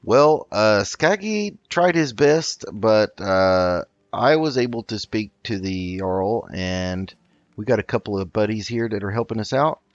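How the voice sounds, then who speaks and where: A man's voice: fairly low pitch, lively intonation, a little slow, dry recording. A man hosting a casual roleplay let's play, chatting and thinking aloud as he goes. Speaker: gaming video host. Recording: slightly dull mic, game music underneath. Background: faint game music.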